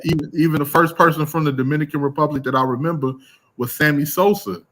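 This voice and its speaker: A man's voice: on the high side, fairly even intonation, fast talker, background noise. A man, talking casually as a podcast host, rambling with fillers and restarts. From American Midwest. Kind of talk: casual conversation.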